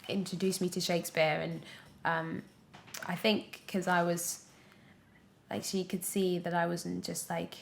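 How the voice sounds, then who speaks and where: A woman's voice: fairly low pitch, flat delivery, measured pace, gravelly, a little hiss or hum. Young British woman, roomy echoey recording. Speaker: podcast guest, actress. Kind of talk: casual interview chat.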